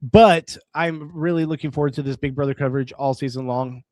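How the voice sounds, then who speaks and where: A man at a middle pitch hosts a late-night live stream, rambling casually to his viewers and reading their comments aloud. His voice is wearing hoarse after hours of talking. The sound is dry and close, with no room around it. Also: fairly even intonation, brisk, a little mellow, no echo. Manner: rambling, reads chat aloud, restarts words. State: voice going hoarse.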